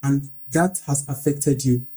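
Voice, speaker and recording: A man's voice: medium pitch, a little slow, warm tone, husky. A man hosting an interview, asking probing questions and summing up his guest's story. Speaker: host.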